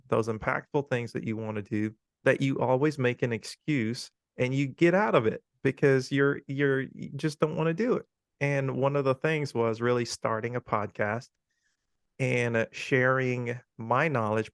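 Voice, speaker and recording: A man in his forties, from Guyana, talks through an interview in an easygoing, chatty way, pausing on plenty of ums. His voice is smooth and resonant. It sits close and dry, with no room sound around it. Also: medium pitch, dry recording. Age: 40s. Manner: relaxed, chatty, frequent ums. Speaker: podcast guest. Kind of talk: casual interview talk.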